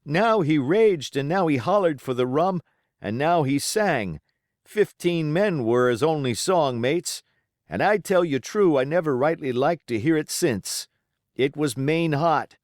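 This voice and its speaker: A man's voice: a little high, a little mellow, no room sound, clean studio sound, pitched above the performer's own voice. A man voicing a frightened, haunted pirate who speaks in fervent rustic sailor dialect in an audiobook. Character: frightened male pirate recalling his captain's death. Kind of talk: audiobook character voice.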